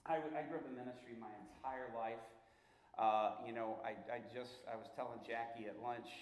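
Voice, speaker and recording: A middle-aged man giving an informal teaching talk as a church workshop teacher, conversational and halting, often restarting and repeating himself. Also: medium pitch, fairly even intonation. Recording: echoey room.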